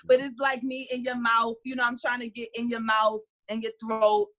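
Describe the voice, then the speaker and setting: A woman's voice: on the high side, little pitch movement, normal speed, sharp tone. A woman from Harlem, chatting casually as a podcast guest and laughing often. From Harlem, New York. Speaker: guest, sketch artist.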